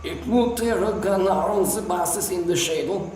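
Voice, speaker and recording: A man's voice: high voice, a little slow, a little mellow, a little hiss or hum, voice pushed up. A man voicing a mysterious ghostly villain in a comic adventure story. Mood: conspiratorial. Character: mysterious villain man muttering in foreign-sounding ghost language. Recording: very echoey, distant, faint ambience.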